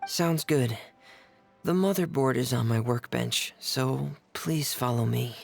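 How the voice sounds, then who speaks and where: A man playing a shy, earnest, soft-spoken teenage boy mechanic in a video game, reserved and polite. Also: medium pitch, slow, creaky, soft background music. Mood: calm, helpful.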